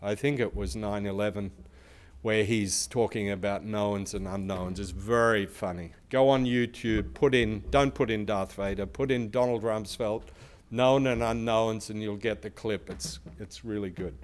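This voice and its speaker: A man's voice: fairly low pitch, steady tone, moderate pace. Man with a big resonant voice. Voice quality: big loud voice. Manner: explanatory, asks the audience questions. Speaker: research institute speaker. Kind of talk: conference presentation.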